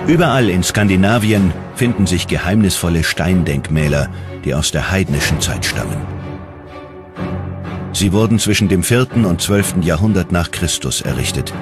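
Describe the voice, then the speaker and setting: A man with a low voice narrates a scripted documentary. His delivery is dramatic and measured, with wide swings in intonation. Music plays behind him. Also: lively intonation.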